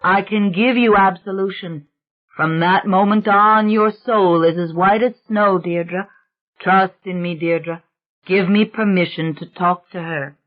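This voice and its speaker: A woman voicing a patient male priest who speaks gently and carefully to a child. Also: mid-range voice, steady tone, unhurried, close to an everyday voice. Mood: coaxing. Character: earnest patient male priest, middle-aged. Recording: dull lo-fi recording.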